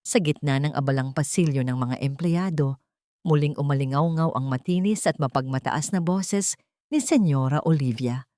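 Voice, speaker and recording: Female narrator, slightly low register, solemn melodramatic delivery for scripted fiction, very dry recording. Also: moderate pace, warm tone, dry recording. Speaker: storyteller, narrator. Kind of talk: dramatic scripted story narration.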